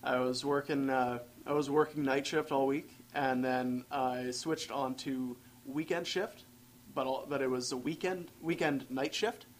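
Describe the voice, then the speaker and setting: A man's voice: mid-range voice, fairly even intonation, measured pace, a little hiss or hum. A tired man, co-hosting a casual podcast and rambling about long work shifts. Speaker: podcast co-host. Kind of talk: casual chat.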